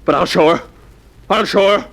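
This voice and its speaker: Shrill, crazed, greedy schemer. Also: male voice, moderate pace, fairly bright tone, rough recording. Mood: crazed, gloating. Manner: frantic, melodramatic. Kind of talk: 1940s radio horror acting.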